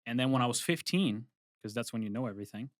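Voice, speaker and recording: Young adult male guest, from Brazil, mid-pitched, casual storyteller, dry studio sound. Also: fast, no echo. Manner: relaxed, rambling with restarts and asides. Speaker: guest, film composer. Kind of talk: casual interview chat.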